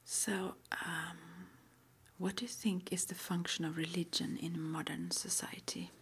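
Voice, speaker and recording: A woman whispering in an ASMR roleplay as an interviewer, breathy and halting, with gentle questions. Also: fairly low pitch, fairly even intonation. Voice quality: breathy, mostly unvoiced whisper. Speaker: ASMR roleplay performer as witch school interviewer.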